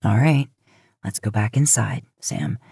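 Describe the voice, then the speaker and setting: A woman voicing a gruff, affectionate adult man, a teasing big brother, in an audiobook story. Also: very deep voice, animated, measured pace, dark tone, no room sound, clean studio sound, pitched below the performer's own voice, exaggerated voice. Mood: casual, caring. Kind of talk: acted dialogue in fan fiction audiobook.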